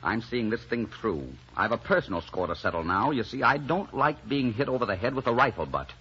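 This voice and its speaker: A man's voice: medium pitch, lively intonation, a little fast, sharp tone. A man acting a stubborn, protective romantic hero in an old radio drama, with emphatic, insistent delivery. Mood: determined. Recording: dull, noisy old radio recording.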